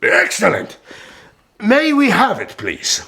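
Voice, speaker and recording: Shrewd sultan for historical radio drama: high-pitched and hugely animated, courtly, gravelly. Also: male voice, background noise, exaggerated voice. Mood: courteous, probing. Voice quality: raspy, gravelly. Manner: courtly, deliberate, theatrical.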